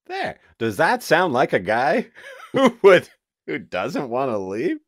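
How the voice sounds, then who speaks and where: A man's voice: fairly high pitch, wildly swooping pitch, takes it slowly, no echo. A man co-hosting a podcast, tossing in dry, sarcastic remarks in casual chat. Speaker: podcast co-host.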